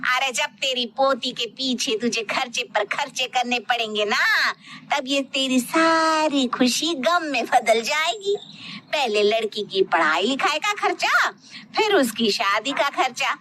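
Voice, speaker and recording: Woman acting bitter, shrill older mother-in-law, dramatically scolding. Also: animated, a little slow, bright, forceful tone, a little hiss or hum, heavily acted. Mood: scornful.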